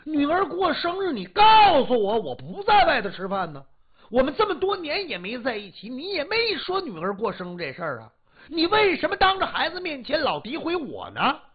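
A drunk husband pouring out grievances in a whiny, stammering voice, as a storyteller puts him on. The tone is husky and lurches up and down in pitch. The sound is dull and lo-fi. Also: wildly swooping pitch, unhurried, heavily acted. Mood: defensive complaint. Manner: whining complaint, stammering. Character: drunk aggrieved husband pleading his case.

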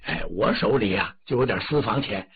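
A man's voice: on the low side, lively intonation, normal speed, slightly rough, phone-quality sound, pitched below the performer's own voice, exaggerated voice. A man voicing Lady Yin, an anxious noble mother who talks in a worried, pleading way. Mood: secretive.

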